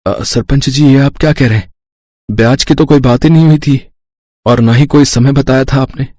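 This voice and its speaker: A man's voice: medium pitch, fairly even intonation, moderate pace, darkish tone, no echo, studio quality, natural voice. A man voicing a poor, earnest young village son in a moral story cartoon, pleading yet determined. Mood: shocked, pleading.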